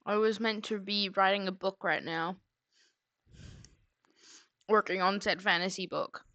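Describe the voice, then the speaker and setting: Bright-voiced woman chatting on a livestream. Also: mid-range voice, steady tone, a little slow, dry recording. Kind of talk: casual livestream chat while drawing.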